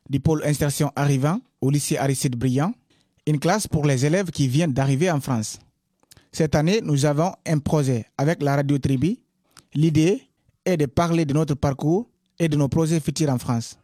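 A man's voice: on the high side, normal speed, dark tone, no echo, studio quality. A teenage male student presenting a scripted introduction for a radio project.

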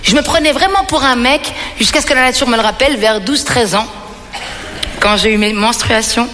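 A woman's voice: on the high side, fairly quick, sharp tone. A woman from Belgium performing a stand-up comedy monologue, telling stories animatedly and putting questions to her audience. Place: reverberant venue on stage. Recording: hall reverb with music behind.

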